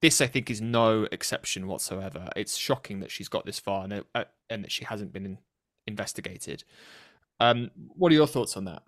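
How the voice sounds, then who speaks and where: A British man with a nasal voice, hosting a political podcast in an earnest, serious and somewhat halting way. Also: lowish voice, fairly bright tone, dry recording, clean studio sound. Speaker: podcast host interviewing a colleague. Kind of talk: conversational political podcast interview.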